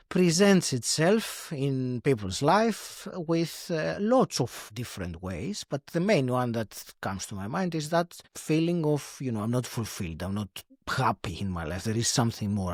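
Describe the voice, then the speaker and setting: A man talks through his ideas as a podcast guest, pausing often and restarting his sentences. His voice sits in a middle register, and the recording is dry, with no room sound. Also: mid-range voice, lively intonation, no echo. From Greece. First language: Greek. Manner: hesitant, many fillers and restarts, laughs often. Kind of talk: reflective conversational interview.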